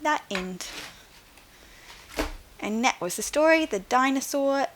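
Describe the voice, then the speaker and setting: A woman reading a picture book to young children like a primary teacher, in an expressive, deliberate way. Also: sits high, background noise. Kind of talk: scripted storybook narration for young children.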